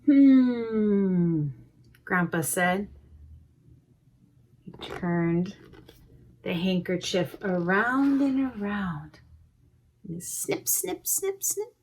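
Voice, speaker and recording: A woman reading a picture book aloud to young children, expressively, pausing to comment on the pictures. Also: mid-range voice, lively intonation, husky. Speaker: preschool educator reading a storybook. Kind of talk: read-aloud storytime with asides to young children.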